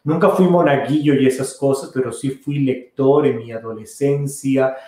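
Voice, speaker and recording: A middle-aged man, a priest hosting a casual podcast, answering listener questions in a relaxed, rambling way with many fillers and restarts. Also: mid-range voice, moderate pace. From Costa Rica. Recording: very echoey room.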